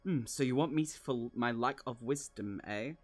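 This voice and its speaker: A man reading aloud as a gruff, terse, wary small hob craftsman from a fantasy novel, with clipped replies. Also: mid-range voice, moderate pace, darkish tone, soft background music, close to an everyday voice. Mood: dry, wary.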